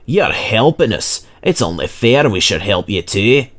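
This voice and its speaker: A man's voice: fairly low pitch, sing-song, a little slow, a bit bright, full character voice. A man voicing a modest, earnest and helpful little steam engine in a children's storybook.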